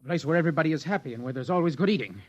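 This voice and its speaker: A frightened young scientist captive. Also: male voice, on the high side, expressive, very fast, lo-fi sound. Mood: puzzled. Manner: fearful, defensive, dogmatic. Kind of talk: 1950s radio drama acting. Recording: old 1950s radio broadcast.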